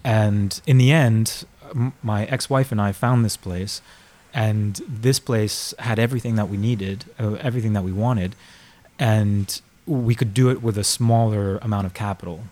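A man's voice: fairly low pitch, fairly even intonation, normal speed, darkish tone. A man in his forties, born in Argentina and raised in Florida, talking about his bar in a hesitant way with many restarts. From born in Argentina, grew up in Florida. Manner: hesitant, many restarts and fillers, laughs at himself. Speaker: bar owner. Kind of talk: casual interview chat.

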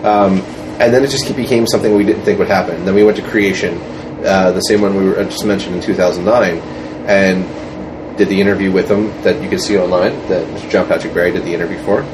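A man's voice: sits low, steady tone, quick pace. A man guest telling behind-the-scenes stories casually, in a detailed, explanatory way. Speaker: podcast guest, fan film maker. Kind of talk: casual interview storytelling. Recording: distant mic in noisy room.

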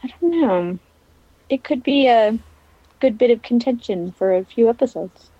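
Dull-sounding recording of a high-voiced woman. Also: normal speed, breathy edge, no room sound. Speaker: podcast co-host. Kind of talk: casual TV recap banter. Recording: dry, dull lo-fi microphone sound.

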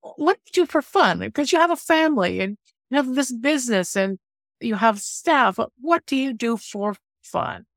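A woman co-hosting an interview, asking questions in a lively, animated way. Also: on the high side, moderate pace, dry recording, studio quality. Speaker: interview co-host. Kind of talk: hosting a lightning round.

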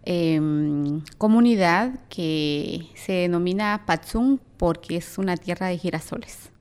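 A woman's voice: lowish voice, takes it slowly, darkish tone, clear, resonant tone. A young woman with a Guatemalan accent, speaking earnestly as an activist guest in an interview. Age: young adult.

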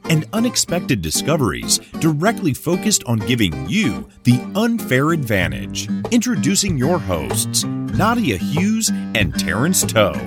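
A show announcer giving a promotional podcast intro in a lively announcer delivery. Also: medium pitch. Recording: over loud guitar music.

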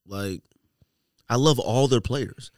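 A man's voice: mid-range voice, slow pace, a little mellow, no echo, clean studio sound. A man chatting casually as a podcast panelist, trading sports opinions and picks.

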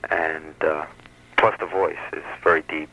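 A sixteen-year-old male caller on a radio show, chatting hesitantly with frequent pauses. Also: rumbling low, level tone. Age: teens. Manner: hesitant, giggly, soft-spoken. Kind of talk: casual call-in radio chat. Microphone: telephone. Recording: tinny telephone line on lo-fi radio.

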